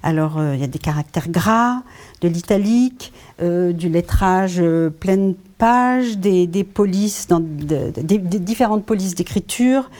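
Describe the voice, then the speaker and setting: Woman interviewer, lowish mellow voice, measured and a bit hesitant. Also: expressive. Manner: measured, reads lyrical prose then asks with hesitations. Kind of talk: literary interview, reads prepared questions.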